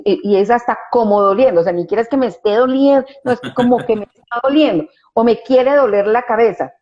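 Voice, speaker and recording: A woman's voice: medium pitch, dark tone, dull audio. A woman psychologist, explaining her view in an interview, often restarting phrases and adding tag questions for agreement. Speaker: psychologist guest.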